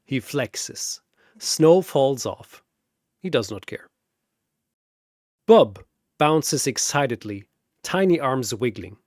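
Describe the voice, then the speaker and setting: A man narrating a scripted comic fantasy battle in a theatrical, deadpan manner. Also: mid-range voice, takes it slowly, long pauses, no room sound, clean studio sound.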